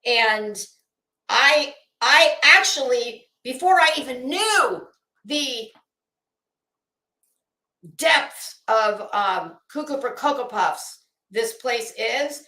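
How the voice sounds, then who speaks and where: Casual livestream host: American woman, middle-aged, high pitch, unhurried delivery with heavily stressed words, blunt and sweary. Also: lively intonation, sharp tone. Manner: blunt, opinionated, profane. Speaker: YouTube commentary host. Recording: roomy, echoey room sound.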